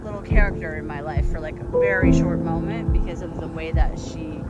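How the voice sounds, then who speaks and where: A woman in casual chat, speaking in a halting, meandering way as she searches for her words. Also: deep voice, fairly even intonation, dull audio. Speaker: host. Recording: music playing over the voice.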